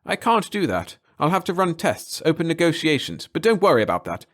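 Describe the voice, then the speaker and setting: A man voicing a patient, quiet-spoken chemist in a story reading. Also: fairly high pitch, sing-song, fairly quick, pitched above the performer's own voice.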